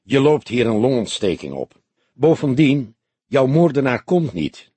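A man's voice: mid-range voice, very animated, unhurried, husky, dry recording. A man voicing a weary old police detective in an audiobook, grave and compelling yet tender. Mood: firm. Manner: grave, compelling, gently disillusioned.

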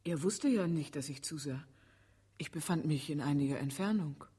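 Breathy, anxious woman witness. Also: low-pitched, dark tone. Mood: timid, subdued. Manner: hesitant, halting, emotional. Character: anxious, reluctant female witness, secretly the defendant's wife. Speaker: actress in a radio play. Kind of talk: German radio drama acting.

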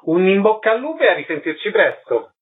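A man's voice: sits high, very animated, brisk. A man presenting on radio as host and interviewer, speaking in a concise, announcer-like way. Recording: slightly dull, lo-fi audio.

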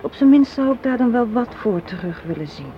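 A woman's voice: fairly high pitch, animated, brisk, natural voice. Muffled recording of a sardonic young woman played by an actress. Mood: challenging, cynical. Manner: argumentative, sardonic, articulate. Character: assertive, cynical young woman arguing against a marriage proposal. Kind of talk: radio play acting. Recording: muffled, hissy old radio play recording.

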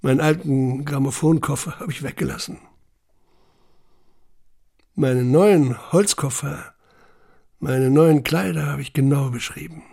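Husky, slow-spoken young man, haunted. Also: a little high, warm tone, heavily acted. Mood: introspective, wounded. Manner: deliberate, introspective. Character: young deported man, haunted, quietly hurt, recounting camp life. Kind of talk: literary radio drama monologue.

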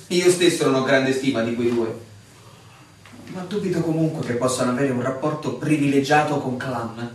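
An actor in a radio drama playing a frustrated, suspicious newcomer to a village, theatrical and full of insistent questions. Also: male voice, medium pitch, natural voice. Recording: echoey, distant, noisy room sound.

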